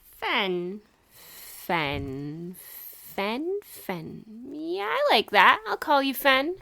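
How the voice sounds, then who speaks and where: A woman playing a shy, guarded teenage girl hitchhiker in an audio drama, with halting, hesitant speech. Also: fairly high pitch, very animated, gravelly, bright, forceful tone, exaggerated voice. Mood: playful.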